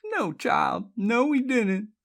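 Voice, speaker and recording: Woman playing anguished, pleading old grandmother, animated. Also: fairly high pitch, a little slow, pitched above the performer's own voice. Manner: pleading, emotional, emphatic. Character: sobbing, guilt-ridden elderly grandmother, firm yet anguished.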